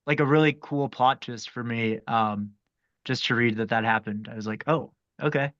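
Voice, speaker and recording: A man's voice: medium pitch, moderate pace, fairly bright tone. A man chatting casually and earnestly as an actor in a group video-call discussion, giving appreciative feedback. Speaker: actor in a table read discussion. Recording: dull video-call audio.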